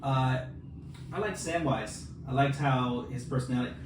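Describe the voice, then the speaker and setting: A man co-hosting a podcast in casual, joking, animated conversation. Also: mid-range voice, normal speed. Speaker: podcast co-host; film director and filmmaker. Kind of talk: casual chat. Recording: roomy echo, distant mic, noisy background.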